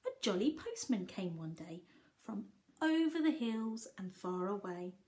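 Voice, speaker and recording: A woman reads a picture book as a teacher would to small children. Her delivery swings widely in pitch around a middling register. She is expressive and coaxes her listeners with questions. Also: measured pace. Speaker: early years teacher reading to young children. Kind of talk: animated picture-book read-aloud with asides.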